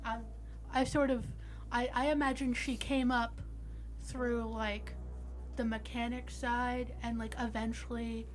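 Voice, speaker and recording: A woman's voice: on the high side, flat delivery, a little slow, soft background music. A woman playing a tabletop game, talking casually and swearing freely as she explains things. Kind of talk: casual improvised game chat.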